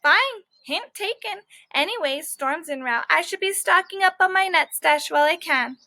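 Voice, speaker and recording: A woman's voice: very high-pitched, expressive, moderate pace, bright, forceful tone, no room sound, pitched above the performer's own voice, full character voice. A woman voicing a small, prissy, wisecracking squirrel in a children's storybook, taunting like a know-it-all. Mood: dismissive. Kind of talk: animated children's storybook read-aloud.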